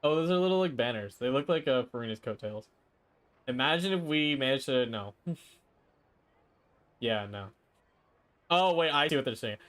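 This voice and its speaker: Animated male game streamer, casual reactions. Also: mid-range voice, normal speed, long pauses. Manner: playful, reactive muttering and exclaiming. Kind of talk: casual live playthrough commentary.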